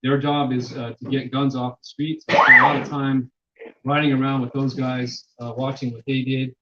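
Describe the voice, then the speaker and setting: Luide spreker, mannelijk, lezing in zaal met galm, veel "uh" en herstarts, doffe opname. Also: male voice, medium pitch, level tone, moderate pace, noisy background. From Mexican-American. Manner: loud, many fillers and restarts. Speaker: sociologist presenter. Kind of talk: public lecture. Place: lecture room. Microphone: handheld microphone, then none. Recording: echoey hall, dull sound.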